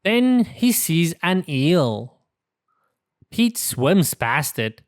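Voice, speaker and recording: A woman's voice: low-pitched, big pitch swings, extremely slow, no room sound, studio quality. A woman reading a picture book aloud to young children, enunciating simple sentences carefully. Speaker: children's story reader.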